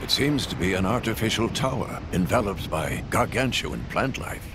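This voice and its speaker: Composed, lowish-voiced scholarly man. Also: very animated, normal speed. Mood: measured. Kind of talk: video game cutscene voice acting. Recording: clear rumbling ambient noise behind.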